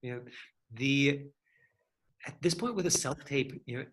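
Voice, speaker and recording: A man's voice: mid-range voice, lively intonation, measured pace, a bit bright. A man with a mid-range voice speaks as an acting teacher in an online class. He talks casually and thinks aloud, circling around his points with plenty of hedging.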